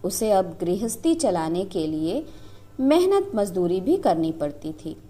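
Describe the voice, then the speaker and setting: A woman storyteller narrating a scripted story in a dramatic, expressive manner. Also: medium pitch, a little slow.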